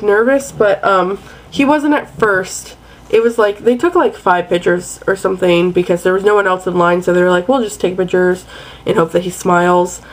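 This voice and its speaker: A woman's voice: mid-range voice, rough recording. A young woman chatting casually and playfully to her webcam in a bedroom at night. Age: young adult. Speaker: vlogger. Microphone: webcam at close range.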